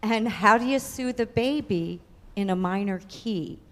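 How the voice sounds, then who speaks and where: A woman musician speaks to the congregation in a relaxed, conversational way. Her unhurried words sit at a middle pitch. They carry a long reverb from the sanctuary. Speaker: cellist. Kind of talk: short spoken intro to a music piece. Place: church sanctuary.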